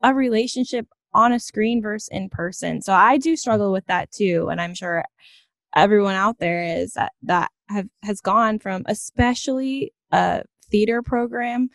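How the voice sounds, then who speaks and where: A woman's voice: mid-range voice, normal speed, creaky, no echo. A woman hosting a podcast, chatting casually with a guest in an encouraging, affirming way. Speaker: podcast host. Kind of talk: casual interview chat.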